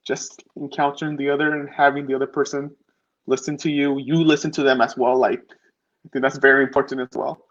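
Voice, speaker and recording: A man's voice: mid-range voice, flat delivery, brisk, a bit bright. A man speaking as a panel guest in a casual, informal way, often saying "like". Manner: informal, uses "like" as filler. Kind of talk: casual discussion. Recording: dull lo-fi audio.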